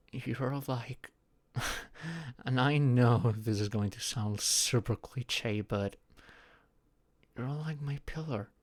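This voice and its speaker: A man acting a shy, halting, slow-spoken young guy. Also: medium pitch, no room sound, close to an everyday voice. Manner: halting, sighing, breathy murmurs rising to tearful outbursts. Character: shy, anxious young man confiding in his closest friend. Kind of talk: intimate audio roleplay acting.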